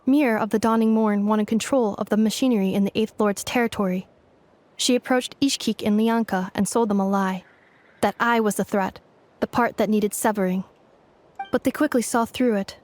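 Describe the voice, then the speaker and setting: A woman voicing a calm, literal-minded young female game character with a measured, formal, matter-of-fact delivery. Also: on the high side, close to an everyday voice. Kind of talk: video game voice acting.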